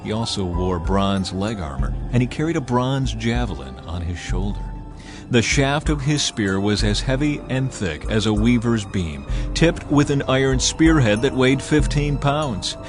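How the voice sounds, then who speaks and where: A man narrating scripture in a measured, dramatic reading. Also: medium pitch, expressive. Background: faint music.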